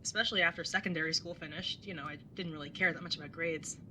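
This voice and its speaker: A woman's voice: low-pitched, fairly even intonation, fast talker, background noise, lightly acted. A woman playing a laid-back, blunt older sister in her young adulthood, casual and teasing, in a naturalistic audio drama. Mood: candid. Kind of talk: naturalistic audio drama dialogue and first-person narration.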